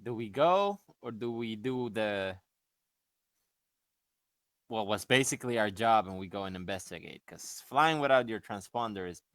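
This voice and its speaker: A man's voice: lowish voice, expressive, normal speed, a bit bright, no echo. A man playing a tabletop role-playing game and talking casually with the other players.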